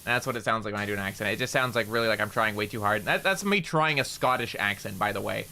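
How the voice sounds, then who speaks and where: A man's voice: lowish voice, fast, bright, forceful tone, slight background noise. A young man from Canada with a slight rural Canadian accent and a nasal voice, chatting casually and rambling while streaming a game, swearing often and mocking himself. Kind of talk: casual gaming stream chat.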